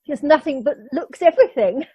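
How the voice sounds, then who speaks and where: Woman acting a high-voiced, prim Edwardian lady. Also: animated, mellow, dry recording, low-quality recording. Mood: catty. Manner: formal, sniffy, measured. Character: prim, catty upper-class Edwardian lady house guest. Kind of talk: amateur radio play acting.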